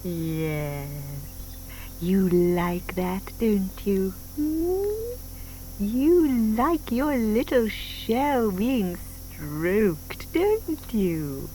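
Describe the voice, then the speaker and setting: An actress playing a sweet, doting middle-aged widow, speaking in a tender, cooing way in an audio drama. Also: female voice, mid-range voice, animated, extremely slow, dark tone, poor audio. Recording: faint hiss on old cassette drama.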